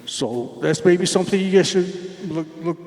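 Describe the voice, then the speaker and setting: A man's voice: sits high, normal speed, darkish tone. A man from the community making an earnest, emphatic public comment at a meeting, at times repeating words as he searches for them. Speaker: community member raising questions. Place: large meeting hall. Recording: echoey hall with long reverb.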